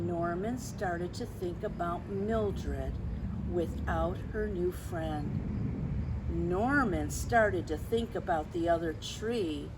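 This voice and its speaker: A woman hosting a children's storytime, reading a picture book expressively and adding questioning asides to young listeners. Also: fairly low pitch. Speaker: storytime host. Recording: clearly noisy background.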